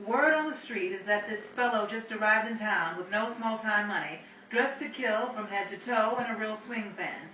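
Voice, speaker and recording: A woman's voice: medium pitch, moderate pace, hardly any pauses, bright, forceful tone, close to an everyday voice. A woman playing a headstrong, sassy 1930s nightclub singer with slangy, cutting retorts, in old-time radio drama style. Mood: defiant, brassy. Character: brassy, headstrong 1930s nightclub singer, female, hard-bargaining. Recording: roomy, distant mic, hissy background.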